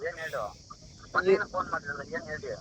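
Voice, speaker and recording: Bright, quick-talking young man, defensive. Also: a little high, steady tone, a little hiss or hum, low-quality recording. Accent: Karnataka. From Karnataka. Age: 20s. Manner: puzzled, defensive. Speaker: prank call target. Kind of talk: casual phone conversation.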